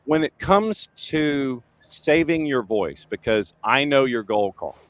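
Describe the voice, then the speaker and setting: A man's voice: medium pitch, animated, slow pace, no room sound. A man hosting a soccer morning show, chatting casually with a guest and joking at his own expense. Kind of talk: casual interview.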